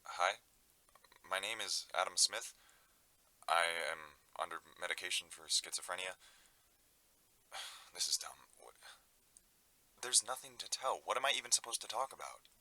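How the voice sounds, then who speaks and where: A man's voice: deep voice, lively intonation, normal speed, long pauses, bright, forceful tone, no echo, exaggerated voice, low-quality recording. A man playing a troubled, awkward man reluctantly recording an audio diary, speaking hesitantly. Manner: hesitant, mumbling, sighing reluctance. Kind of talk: audio drama diary monologue.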